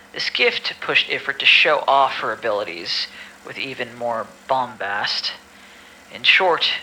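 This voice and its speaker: A man's voice: mid-range voice, bright, forceful tone, slight background noise, rough recording. A man playing an exasperated medical staffer in an audio drama, reading patient files formally with weary, grumbling asides. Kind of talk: amateur audio drama acting.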